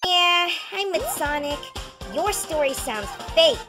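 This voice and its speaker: Very high, sassy cartoon hedgehog girl. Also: animated, takes it slowly, full character voice. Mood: mocking. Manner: sassy, combative, mocking. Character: brash, defiant young female cartoon hedgehog. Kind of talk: fan-dub cartoon acting. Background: loud cartoon music.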